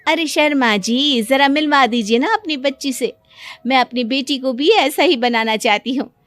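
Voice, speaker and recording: Woman playing a polite, high-voiced cartoon mother. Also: moderate pace, a bit bright. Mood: admiring. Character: polite, admiring working mother, a family acquaintance. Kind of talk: acted cartoon story dialogue. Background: music.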